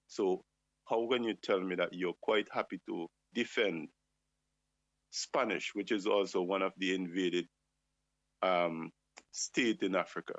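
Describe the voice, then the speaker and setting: A man's voice: low-pitched, fairly even intonation, unhurried, pauses often, dry recording. A man on a talk show, speaking with passion and firing off rhetorical questions. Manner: impassioned, asks rhetorical questions. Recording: dull lo-fi dry sound.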